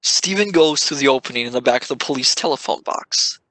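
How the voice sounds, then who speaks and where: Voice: medium pitch, lively intonation, fairly quick, a bit bright, no echo, rough recording. A narrator reading the stage directions of a live amateur radio play in a dramatic way, stressing sudden events.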